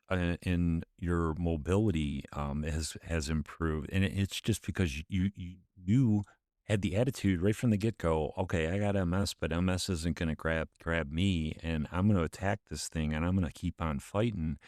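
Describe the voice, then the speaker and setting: A man hosting a casual interview, encouraging his guest in a halting way, restarting and repeating words. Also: low-pitched, darkish tone, dry recording, clean studio sound. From Michigan. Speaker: podcast host.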